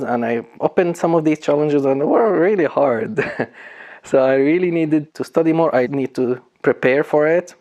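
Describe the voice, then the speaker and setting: A man's voice: medium pitch, a little mellow. A man in his thirties from Syria, a bootcamp student speaking candidly and reflectively in a personal interview. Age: 30s.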